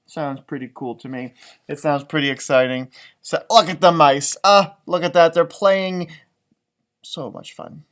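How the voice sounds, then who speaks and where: A man reading a children's picture book aloud in an animated way, stretching out read phrases and adding chatty, exclaiming asides. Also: a little high. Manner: animated, exclaiming, drawn-out words when reading. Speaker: storybook reader, elementary music teacher.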